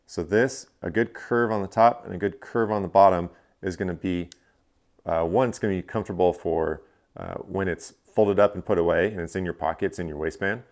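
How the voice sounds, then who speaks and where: A man's voice: low-pitched, brisk, darkish tone. A man in his thirties casually reviewing gear in a living room, explaining step by step with frequent fillers. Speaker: fishing channel host. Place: living room, indoors, seated on a couch.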